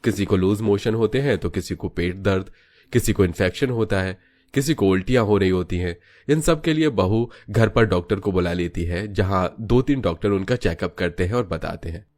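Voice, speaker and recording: A man's voice: sits low, moderate pace, a little mellow. A man narrating a scripted cartoon story as its storyteller.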